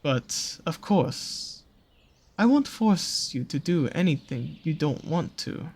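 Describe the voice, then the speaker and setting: A performer voicing a seductive, slyly menacing naga monster in an intimate audio roleplay, with a husky, breathy voice and slow, coaxing, teasing phrasing. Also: medium pitch, darkish tone, full character voice.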